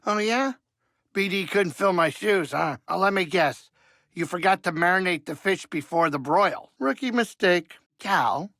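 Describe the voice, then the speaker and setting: An aging alien starship pilot for a video game. His voice sits fairly high, with a bright, pushy edge and plenty of gravel. He is folksy and fussy, a wisecracking grumbler with a soft heart, recorded close and dry. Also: dry recording, heavily acted. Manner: folksy, wisecracking, fussy, colloquial streetwise talk. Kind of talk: video game character dialogue.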